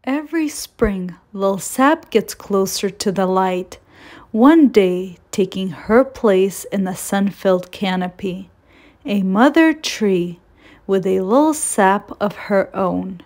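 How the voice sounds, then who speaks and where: Woman, slow pace, mid-pitched voice, children's read-aloud, deliberate storyteller. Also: a little mellow. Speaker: children's storytime reader and host. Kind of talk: scripted read-aloud of a children's picture book.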